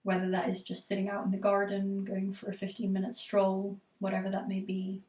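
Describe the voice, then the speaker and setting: Roomy, flat-voiced woman coach with a cold. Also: mid-range voice, a little fast, distant mic. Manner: reflective, steady advice with frequent fillers. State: has a cold, voice going hoarse. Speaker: eating disorder recovery coach. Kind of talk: live group coaching Q&A.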